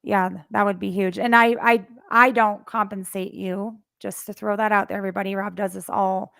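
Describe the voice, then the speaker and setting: A woman's voice: medium pitch, level tone, normal speed, creaky, no echo, clean studio sound. A middle-aged woman hosting a podcast interview in a casual, talkative, encouraging way. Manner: warmly encouraging, talkative, laughs easily. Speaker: podcast host.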